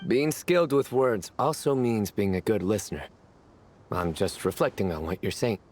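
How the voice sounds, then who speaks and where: Man as grave, low-voiced stern officer. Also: moderate pace, lightly acted. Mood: solemn. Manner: solemn, deadpan. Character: stern, grave male officer with dry humour. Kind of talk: video game character dialogue.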